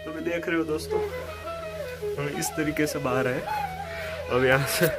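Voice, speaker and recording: A man's voice: fairly high pitch, steady tone, normal speed. A man, explaining things to viewers in a casual vlog style and often repeating himself. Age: 20s. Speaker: vlogger and on-site guide. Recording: loud music under speech. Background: loud instrumental music.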